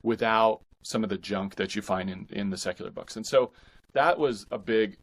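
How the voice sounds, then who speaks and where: Male author guest, lower register, conversational interview answers, halting and reflective delivery.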